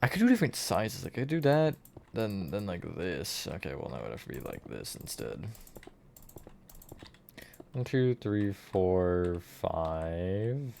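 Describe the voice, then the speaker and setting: A man's voice: sits low, huge pitch swings, takes it slowly, creaky, darkish tone, a little hiss or hum. A man streamer chatting casually with his viewers, counting blocks and answering chat while he builds.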